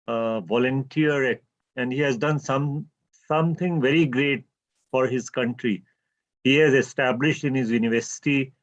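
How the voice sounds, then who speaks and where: A man's voice: mid-range voice, lo-fi sound. A man speaking courteously and hesitantly in an online meeting. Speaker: meeting participant.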